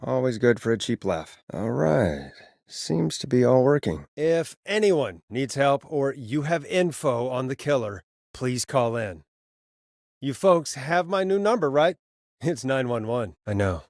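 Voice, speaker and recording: A man's voice: medium pitch, sing-song, a little slow, a little mellow, no room sound, studio quality. A man voicing a video game character, a harried but kind late-night radio DJ who is wry and reassuring and sometimes stammers. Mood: wry, dry, then on-air. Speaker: radio host. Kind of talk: video game voice acting, conversational radio patter.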